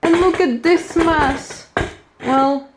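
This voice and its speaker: A woman's voice: sits high, fairly even intonation, very slow. A young woman talking casually and candidly while busy with what she is doing. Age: young adult. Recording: faint background noise, clicks.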